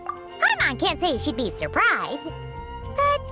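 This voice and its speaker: A woman voicing a tiny childlike fairy companion in a video game, squeaky, cheeky and excitable, talking of herself in the third person. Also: slow, exaggerated voice. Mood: skeptical. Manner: exclaims, refers to self in third person. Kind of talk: video game character acting. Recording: background music behind the voice.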